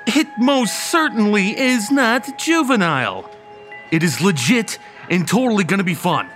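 Showy young male stoner, theatrical, goofy, higher-pitched, animated, audio drama, faint music. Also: heavily acted. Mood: excited, teasing. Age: young adult. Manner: theatrical, drawn-out emphasis. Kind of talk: theatrical dialogue in old time radio style audio play.

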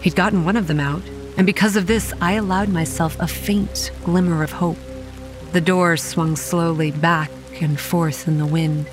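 Horror audio drama lead: actress as young woman, haunted and wry, measured first-person narration over quiet music and rain. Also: lowish voice, fairly even intonation, close to an everyday voice, poor audio. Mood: grave, haunted. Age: young adult. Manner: grave, measured storytelling with dry sarcastic asides.

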